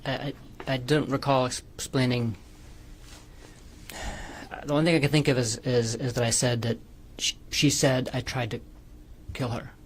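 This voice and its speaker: A man testifying as a defendant in court with a creaky voice, hesitant and stammering, often repeating his words. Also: mid-range voice, little pitch movement, moderate pace, long pauses, slight background noise. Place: courtroom witness stand.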